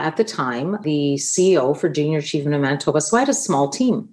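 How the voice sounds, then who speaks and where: A woman from Canada whose first language was Italian speaks as a podcast guest. Her voice sits slightly low, and she tells her points through relaxed anecdotes. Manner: explains in a conversational, anecdotal way. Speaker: guest expert. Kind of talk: podcast interview.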